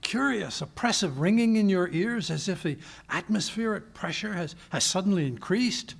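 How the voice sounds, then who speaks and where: An actor playing a flustered, nervous English gentleman, stammering and blustering in the style of 1940s radio drama. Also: high-pitched, animated, moderate pace, gravelly, slightly rough, heavily acted. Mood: uneasy. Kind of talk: 1940s radio drama acting, performed live.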